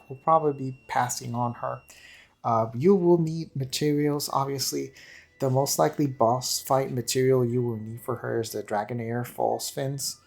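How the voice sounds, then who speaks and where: A man's voice: mid-range voice, creaky. A man giving casual, unscripted game commentary in a rambling, hesitant way, often repeating and correcting himself. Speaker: gaming channel host. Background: background music.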